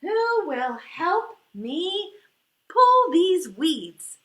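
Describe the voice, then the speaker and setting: A woman voicing an industrious, resolute little mother hen from a storybook, with singsong, drawn-out phrases. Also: very high-pitched, big pitch swings, fairly bright tone, heavily acted. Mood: hopeful, calling. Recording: echoey room.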